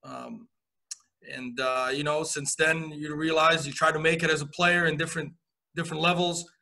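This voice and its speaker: Man, forties, from Romania, soccer coach, bright clear tone, video-call answers full of uh and um. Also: fairly high pitch, normal speed. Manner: relaxed storytelling with many uh fillers. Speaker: college women's soccer coach. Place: indoor office.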